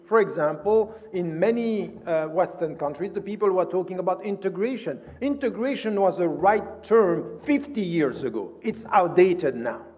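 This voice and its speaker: Male conference speaker, high-pitched voice, emphatic and didactic, argues formally, long hall reverb. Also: animated, normal speed, hardly any pauses, darkish tone. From Switzerland. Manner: emphatic, didactic, poses questions and answers them. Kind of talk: formal conference lecture, argumentative.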